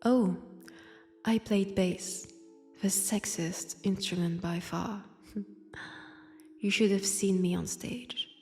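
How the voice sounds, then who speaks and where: A woman with a French accent voicing an ancient, teasing vampire who poses as a nun, in a hushed, intimate roleplay drama. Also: mid-range voice, fairly even intonation, faint music behind. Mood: wry, nostalgic. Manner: slow, teasing, hushed, dropping into whispers. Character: ancient, powerful female vampire posing as nun, teasing, sardonic. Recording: long church-like reverb.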